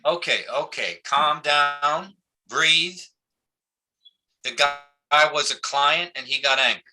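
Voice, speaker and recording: A man's voice: fairly high pitch, slow, pushed and bright, full character voice. A man acting a curt, commanding police officer in a naturalistic stage play reading. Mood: controlled, commanding.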